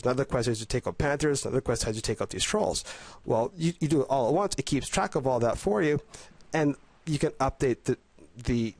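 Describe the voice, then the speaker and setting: A man with a cold, sounding congested and a little nasal, giving casual voice-over commentary on a game guide, enthusiastic but halting with frequent restarts. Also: medium pitch, darkish tone, dry recording. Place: at home.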